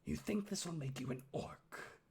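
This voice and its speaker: Gruff bitter old orc dad, gravelly and raspy, sneering, hissy whispers, fantasy villain. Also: male voice, a little high, lively intonation, normal speed, darkish tone, voice pushed up, heavily acted. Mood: scornful. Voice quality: husky, gruff. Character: bitter, menacing, scarred orc father, older male.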